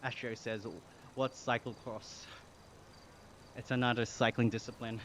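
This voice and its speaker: Man, Australian accent, medium pitch, casual livestream chat, slangy phrasing, reads comments aloud, noticeable game noise behind. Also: little pitch movement, a little slow, a bit bright. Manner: chatty, slangy, reading chat aloud, thanking viewers. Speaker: live streamer.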